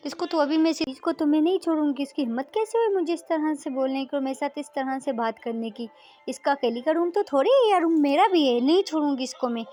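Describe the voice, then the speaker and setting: A woman's voice: high-pitched, normal speed, lightly acted. A woman voicing a young female student in a told story, insistent and repetitive, a daughter who can be feisty. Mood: indignant. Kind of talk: amateur acted dialogue in a narrated story.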